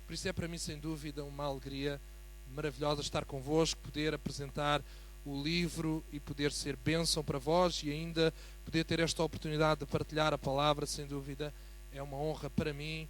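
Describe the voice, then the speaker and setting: A man with a European Portuguese accent preaching as a guest in a church, building from a quiet greeting to fervent emphasis. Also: a little high, little pitch movement, a little fast, slight background noise, poor audio. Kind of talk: sermon.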